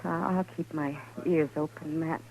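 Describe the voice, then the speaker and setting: A woman's voice: deep voice, steady tone, measured pace, creaky, very noisy. A woman playing a frank, defiant frontier woman with a tender streak, plain-spoken and understated in a vintage radio western. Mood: confiding. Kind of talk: 1950s radio western acting. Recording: dull vintage 1950s radio recording.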